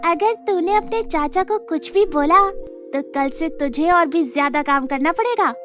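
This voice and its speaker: Woman playing a high-pitched bossy aunt. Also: a little slow, fairly bright tone, soft background music. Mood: threatening. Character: harsh, bossy aunt of an orphan girl.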